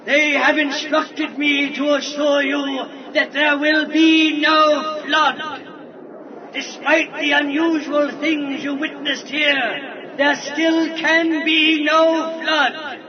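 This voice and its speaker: Very slow, formal official spokesman. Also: extremely high pitch, level tone. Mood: assured. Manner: formal, measured proclaiming. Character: official spokesman for elders, calmly dismissive announcer. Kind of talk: biblical audio drama acting. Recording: dull, distant, crowd behind.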